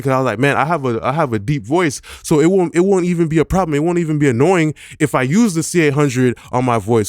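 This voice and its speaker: A man's voice: mid-range voice, no echo. A man, a music producer, reviewing studio gear in a loose, conversational way, full of fillers and slangy grammar. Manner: loose, conversational, many "you know" fillers. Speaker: music producer and mixing engineer. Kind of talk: casual gear review talk to camera.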